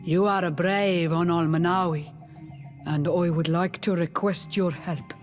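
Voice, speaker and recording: A woman playing a proud, warlike clan leader in a video game, with solemn, deliberate, formal delivery. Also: on the low side, steady tone, faint music behind, close to an everyday voice. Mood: solemn, apologetic. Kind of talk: video game dialogue acting.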